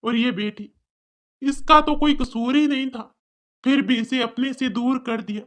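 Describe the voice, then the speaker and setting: Humble, sorrowful older father for cartoon drama, played by a woman, pitched below narrator but still highish. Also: measured pace. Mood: regretful, earnest. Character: older fathers, humble, regretful, gently moralizing men.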